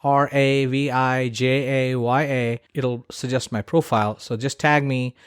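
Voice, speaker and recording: A man's voice: medium pitch, fairly even intonation, unhurried, smooth, no room sound, studio quality. Blunt, emphatic male podcast host. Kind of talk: opinionated solo podcast talk.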